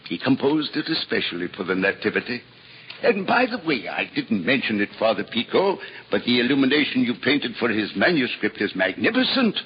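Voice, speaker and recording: A clever teasing monk, husky voice, lots of up and down, echoey dull old radio. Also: male voice, mid-range voice, sing-song, fairly quick. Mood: wry. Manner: dry, teasing, scholarly. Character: witty learned male monk, Father Elias. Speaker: priest. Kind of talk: 1950s radio drama acting. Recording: old radio broadcast with long reverb.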